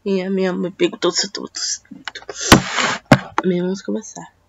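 A teenage girl from Brazil with a Brazilian Portuguese accent, hoarse from a cold, chatting hesitantly through a drawing tutorial at home. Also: fairly low pitch, level tone, a little slow, fairly bright tone. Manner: chatty, hesitant, talks to viewers as "gente". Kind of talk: casual screen-recorded tutorial. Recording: dull lo-fi mic with faint hiss.

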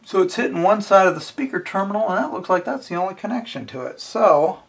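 A man's voice: high-pitched, animated, quick pace, vocal fry. A middle-aged man giving a casual hands-on tutorial, informally thinking aloud as he talks himself through each step. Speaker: instructor. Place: indoors at a wooden workbench.

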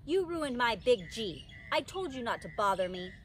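A curt little schoolgirl, voiced by a woman in a storybook reading, firm and scolding with her classmate. The voice is fairly high, with faint outdoor noise around it. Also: sing-song, normal speed, fairly bright tone. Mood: irritated. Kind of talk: children's storybook read-aloud.

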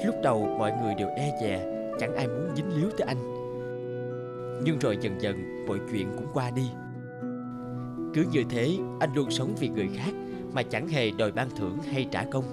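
A man reading scripted narration for a folk tale. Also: mid-range voice. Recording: music under the voice. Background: loud instrumental music.

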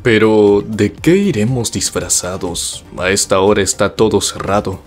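A man's voice: lowish voice, unhurried, faint music behind, natural voice. A man playing a laid-back, practical teenage student in an anime fandub, casual and easygoing.

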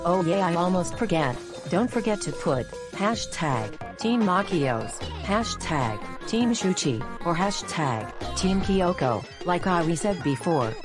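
A woman with a lowish voice reads out the show's announcements in a hyped, listing way. Her narration sits over loud, clear background music. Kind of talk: scripted narration.

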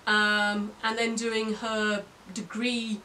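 Bright-toned woman, brief remarks, slight hiss. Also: medium pitch, completely flat delivery, slow, poor audio. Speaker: guest co-reviewer. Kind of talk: casual chat. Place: indoor room with bookshelves.

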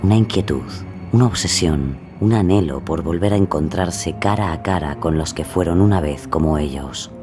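A male actor playing a knowing, sardonic narrator in an audio drama, measured and dryly ironic. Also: sits low, natural voice. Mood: solemn. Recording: music behind the voice.

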